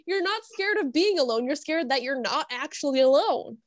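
A woman speaks in a high-pitched voice as a podcast guest. Her talk is informal and conversational, as in a chat among a small group. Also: expressive, normal speed.

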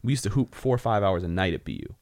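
Quick-talking deep-voiced man in his thirties. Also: a little mellow, no room sound, clean studio sound. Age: 30s. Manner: quick, joking, casual. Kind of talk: casual podcast chat.

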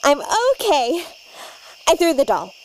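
Woman, comedy lead, high and lively, cheerfully unbothered. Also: slow pace, slight background noise, poor audio. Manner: bubbly, oblivious, cheerfully unbothered. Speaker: cast member. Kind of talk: comedic audio sketch.

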